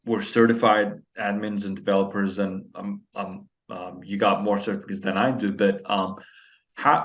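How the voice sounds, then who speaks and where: A man's voice: fairly low pitch, dull audio. A man interviewing a fellow developer, asking questions with many ums, repeated words and restarts. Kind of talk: casual conversational interview.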